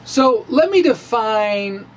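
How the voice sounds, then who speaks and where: High-pitched, theatrical middle-aged man. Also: expressive, slight background noise. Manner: theatrical, emphatic, with halting pauses. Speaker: science vlogger presenting a physics monologue. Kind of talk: dramatic reading mixed with casual asides. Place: small indoor room with bookshelves. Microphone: handheld-style microphone on stand close in front.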